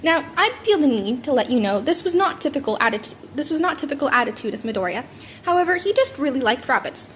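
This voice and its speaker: A woman with a high voice narrates a comic story in an amateur audiobook style. She occasionally trips and repeats a phrase. The home recording has noticeable noise behind her. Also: animated, fast, fairly bright tone. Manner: stumbles and rereads phrases. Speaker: narrator reading fan fiction aloud.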